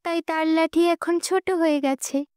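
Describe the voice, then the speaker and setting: A woman voicing a clever, confident male court jester in a storybook tale, assured and unhurried as he explains. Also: very high voice, fairly even intonation, a little mellow, no echo, clean studio sound, voice pushed up. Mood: amused, explaining.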